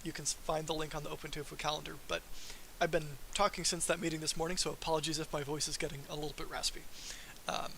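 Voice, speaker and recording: A man giving an informal technical presentation on a livestream as a software developer, in a casual, self-deprecating manner. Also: a little high, little pitch movement, quick pace, vocal fry. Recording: faint background hiss.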